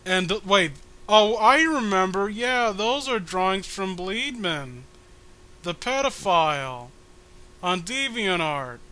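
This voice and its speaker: Male comedy podcast host, high and sharp-toned, reads aloud and riffs sarcastically in casual style. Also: lively intonation, takes it slowly. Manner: sarcastic, joking. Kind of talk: comedic reading with casual commentary.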